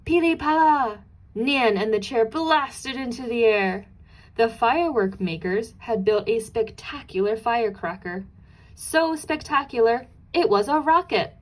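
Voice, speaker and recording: A woman's voice: a little high, measured pace, low-quality recording. A woman narrating a children's storybook aloud, expressively, with dramatic emphasis on key and repeated words.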